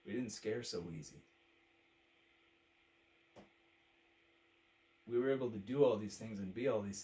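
A man's voice: mid-range voice, close to an everyday voice. A man performing a dramatic monologue as a fed-up, brutally frank pundit, with a biting, emphatic, rhetorical delivery.

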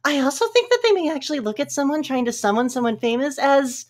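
A woman reading forum posts aloud for a comedy podcast in a halting, mock-earnest way. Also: sits high, very animated. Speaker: podcast reader.

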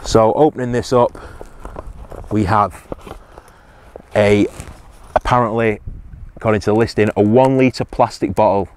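A man's voice: lowish voice, fairly even intonation, measured pace, pauses often, darkish tone, slight background noise. A man, casually reviewing survival gear outdoors on a hillside, hesitant with many ums and restarts.

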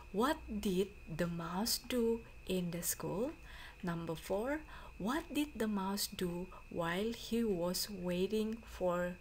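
A woman teacher reads aloud for a class at a slow, careful pace. Her pitch sits in the middle range for a woman, and she sets out each phrase deliberately. There is faint noise behind her voice. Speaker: teacher reading aloud to students.

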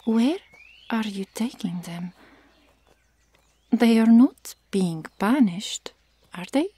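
A woman playing a nosy, teasing Amazon warrior sister in an ASMR roleplay, speaking softly and often whispering. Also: fairly high pitch, expressive, slow, no room sound, full character voice. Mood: defensive, curious. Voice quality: soft, breathy, often whispering. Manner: inquisitive, needling.

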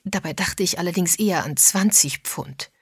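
A woman's voice: fairly low pitch, normal speed, husky, dry recording, clean studio sound. A woman voicing a tough, blunt woman amateur sleuth, frank and musing, in an audiobook.

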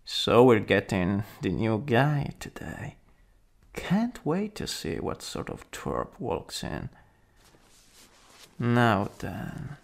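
A man acts out a rude young bully in an ASMR roleplay, all swagger over a hidden soft spot. The voice is gravelly and husky, and it swings widely in pitch between taunting and flustered. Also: very animated, extremely slow, heavily acted. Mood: cocky, menacing. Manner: cocky taunts breaking into stammering, breathy asides.

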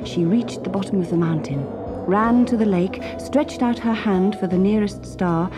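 A woman with a British accent reading a children's story as an expressive narrator. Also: medium pitch, moderate pace, over music.

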